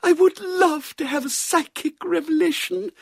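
Man as elderly dreamy lady cousin, very high falsetto, affected, gushing, theatrical, storybook character. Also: steady tone, normal speed, vocal fry, no echo.

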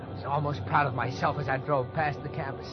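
A desperate young man played by a male actor in an old radio thriller, his voice on the high side and wound tight. He narrates in the first person and stammers under pressure, on a dull vintage recording with music. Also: steady tone, brisk, lightly acted. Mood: tense narrating. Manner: tense, stammering when pressed.

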